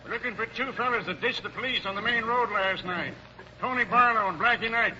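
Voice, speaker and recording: A plain-spoken country sheriff in a vintage radio play. His American voice is very high and cutting, blunt and folksy. The sound is dull, with faint noise. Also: fairly quick, pushed and bright. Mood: businesslike. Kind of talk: 1940s radio acting. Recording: dull, noisy old radio recording.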